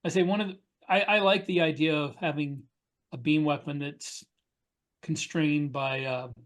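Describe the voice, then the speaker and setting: A man's voice: fairly high pitch, steady tone, moderate pace. A man chatting as an author on a panel, explaining things casually in short pieces. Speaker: science fiction author on a panel podcast.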